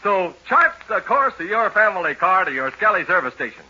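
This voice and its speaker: An American man works as announcer in 1940s radio style. His high, very bright voice swings animatedly, selling and narrating with dramatic emphasis for young listeners. The sound is that of a dull, slightly noisy old broadcast. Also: big pitch swings, a little fast, breathy edge. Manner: emphatic, dramatic salesmanship addressed to children. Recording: dull old radio recording with faint noise.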